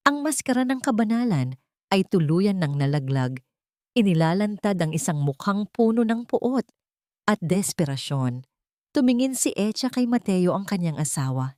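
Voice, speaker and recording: A woman's voice: on the low side, warm tone, no room sound, clean studio sound. A woman reading a scripted dramatic story in a solemn, melodramatic manner. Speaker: storyteller narrator.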